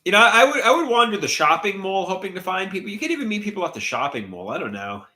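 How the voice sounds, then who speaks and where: A man's voice: high voice, sing-song, fast talker, pushed and bright. Stuffy-nosed man in an echoey room. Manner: rambling, hesitant, trails off mid-thought. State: stuffy, congested nose. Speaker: livestream host. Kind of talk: casual livestream chat, reading comments aloud.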